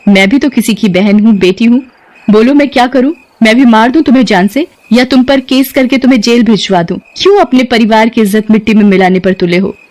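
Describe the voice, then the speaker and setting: A woman's voice: a little high, a little fast, a little mellow, lightly acted. A woman voicing a principled female tutor in an audio story, firm and admonishing in manner. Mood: reproachful, firm. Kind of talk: dramatized audio story acting.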